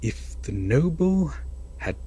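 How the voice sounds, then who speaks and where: A man playing an obsessive, lovesick captor prince in an audio roleplay, telling a dramatic bedtime fairytale in a halting, hushed, breathy voice. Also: fairly high pitch, big pitch swings, heavily acted. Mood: anguished justifying. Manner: halting, hushed, wistful, with heavy pauses.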